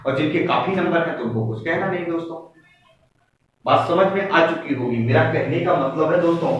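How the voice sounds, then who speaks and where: A man's voice: a little high, fairly quick. Echoey, distant man teaching. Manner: emphatic, repetitive, directly addressing listeners. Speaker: exam coaching teacher. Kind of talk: informal exam-update lesson for students. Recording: very echoey room, distant mic, dull, faint noise.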